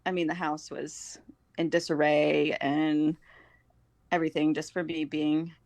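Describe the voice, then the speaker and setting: A woman with a slightly low voice talks casually as a podcast guest. The sound is dry, without room echo. Her phrasing is informal and hesitant, strung together with filler words. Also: little pitch movement, unhurried, vocal fry, a little mellow. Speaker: podcast guest, homeschooling mother. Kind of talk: casual chat.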